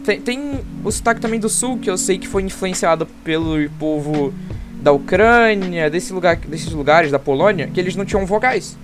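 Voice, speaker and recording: A Brazilian teenage boy with a notably high voice talks through a school project answer, rambling and restarting his sentences. Clear guitar music runs underneath him the whole time. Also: moderate pace. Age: teens. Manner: rambling, hesitant explaining with restarts. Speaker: student presenter.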